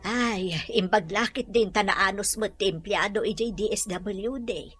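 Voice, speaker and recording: A woman playing a caring mother who gently advises her grown daughter in a radio drama. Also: medium pitch, a little slow, husky, faint music behind. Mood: concerned, advising. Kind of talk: Ilocano radio drama acting.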